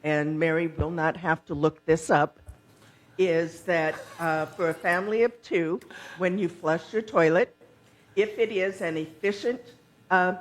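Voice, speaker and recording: Woman explaining, with frequent pauses. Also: lowish voice. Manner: explanatory, pausing often mid-sentence. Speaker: board candidate at a community forum. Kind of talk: impromptu informational remarks at a meeting.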